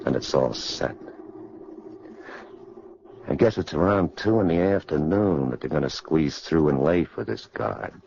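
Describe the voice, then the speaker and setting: A man's voice: a bass voice, lively intonation, measured pace, vocal fry, long pauses, a little mellow, exaggerated voice. An actor playing a cocky, sneering convict in slangy tough-guy talk, in a 1940s radio crime drama. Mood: confident, scheming. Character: tough, cocky male convict plotting a prison break. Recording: noisy, muffled 1940s radio recording.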